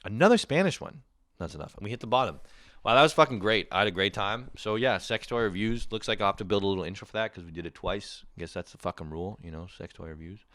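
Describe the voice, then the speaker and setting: A man's voice: fairly low pitch, fast, fairly bright tone, no room sound, clean studio sound. A man hosting a comedy podcast, reading reviews aloud with crude, sarcastic, mocking commentary. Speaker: podcast host.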